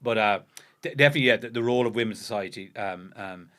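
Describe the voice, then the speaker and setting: Irish guy on a history podcast, rattles along quick, lots of you knows and false starts. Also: on the low side, fairly bright tone. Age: under 50. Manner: fast, halting, many restarts and "you know". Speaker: podcast co-host. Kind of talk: casual history discussion.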